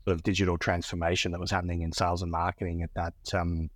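A man's voice: sits low, level tone, fast talker, no echo, studio quality. An Australian man speaking as a podcast guest in casual interview talk, often repeating words as he finds his phrasing. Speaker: podcast guest, business executive. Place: Austin, Texas (based).

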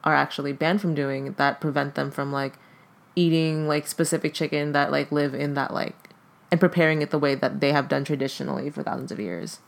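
Gravelly-voiced guest, Tagalog native, halting. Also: medium pitch, fairly even intonation. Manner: hesitant, heavy use of "like" fillers. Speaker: podcast guest. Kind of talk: casual conversation.

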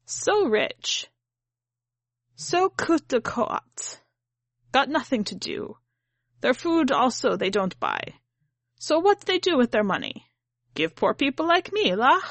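A woman's voice: sits high, big pitch swings, slow pace, pauses often, dry recording, voice pushed up, heavily acted. A woman voicing a blunt, brash old grandmother in a storybook, complaining in colloquial English. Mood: grumbling. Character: loud, brash, indignant old grandmother who loves gambling.

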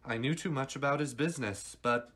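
A man's voice: medium pitch, a little fast, fairly bright tone, slight background noise, close to an everyday voice. A man playing a cocky young amateur sleuth in vintage radio theatre, with a wry, folksy manner. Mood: wry, relaxed.

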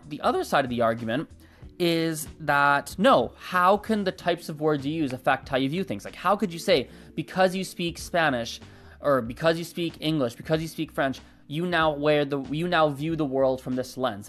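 A young adult host whose first language is Gujarati, thinking aloud in a casual monologue and often hedging and correcting themself. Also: mid-range voice. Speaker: channel host.

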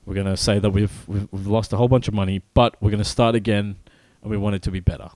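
Low-voiced man co-hosting a gaming podcast. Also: fairly even intonation, darkish tone, dry recording. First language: not Polish. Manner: halting, many fillers and restarts, apologetic. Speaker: podcast co-host. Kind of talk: casual chat, reading news.

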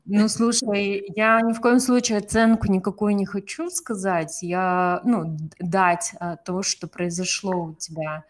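A woman interviewing a guest, asking questions in a halting way as she searches for words. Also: mid-range voice, fairly even intonation. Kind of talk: conversational interview.

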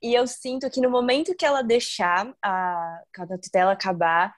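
A woman's voice: mid-range voice, fairly even intonation, moderate pace. A young woman hosting a podcast, chatting casually and explaining things with lots of tag questions. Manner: chatty, explains with many "né?" tags. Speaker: podcast host.

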